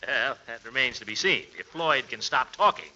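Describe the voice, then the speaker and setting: An actor playing a pompous, flustered middle-aged uncle and would-be mayor in American 1940s radio comedy, blustery and exasperated. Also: male voice, mid-range voice, animated, normal speed, bright, forceful tone. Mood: impatient. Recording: muffled old radio broadcast with hiss.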